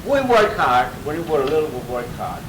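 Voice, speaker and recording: Greek-born man in his eighties, chuckling storyteller, slightly high voice, far off mic in an echoey room. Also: big pitch swings, normal speed, background noise. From Greece. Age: 80s. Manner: chuckling, rambling storyteller with restarts. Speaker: interviewee, grandfather. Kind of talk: casual oral-history interview. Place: home.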